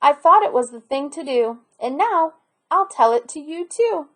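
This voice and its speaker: A woman's voice: sits high, lively intonation, normal speed, fairly bright tone, voice pushed up. A woman voicing a kindly, wise sea turtle in a storybook read aloud. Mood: calm, helpful. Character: kindly wise male sea turtle who gathers names.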